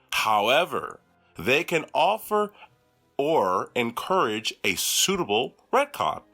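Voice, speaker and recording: A man reads a scripted list of roles very slowly and deliberately, his pitch rising and falling a lot. His voice is bright and forceful, over faint music. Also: gravelly. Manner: slow, deliberate list reading. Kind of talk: scripted announcement reading.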